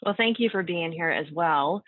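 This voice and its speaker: A woman's voice: on the low side, moderate pace, no room sound. A woman neurologist moderating a panel interview, friendly and encouraging, with some fillers. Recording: tinny phone-like line, dry.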